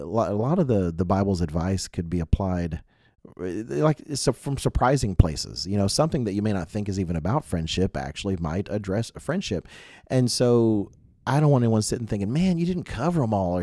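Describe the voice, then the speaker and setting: A man's voice: on the low side, animated, a little fast, warm tone, no room sound, clean studio sound. A man co-hosting a casual religious youth podcast in a chatty, self-deprecating way, full of asides, getting over a mild cold. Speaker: podcast co-host.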